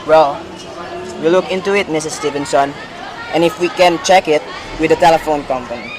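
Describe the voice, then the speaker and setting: A man's voice: a little high, normal speed, a crowd in the background, natural voice. A young man acting a skeptical, dismissive police desk officer in an amateur radio play, patronizing and matter-of-fact, with background noise. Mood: placating. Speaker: student actor in a school radio play.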